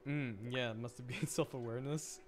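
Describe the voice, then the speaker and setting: A man's voice: mid-range voice, steady tone, brisk, a little hiss or hum. A young man streaming a video game, chatting casually and rambling, often repeating words as he thinks aloud.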